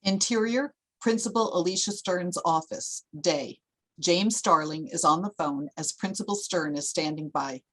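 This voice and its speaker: A woman's voice: fairly low pitch, unhurried, a bit bright, low-quality recording. A woman narrator reading screenplay scene headings and stage directions as scripted narration.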